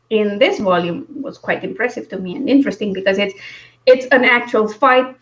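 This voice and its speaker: A woman chats casually about books in a fairly high voice. Her manner is wry and informal, and the audio is slightly dull and lo-fi. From Romania. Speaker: book discussion host. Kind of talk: casual book chat.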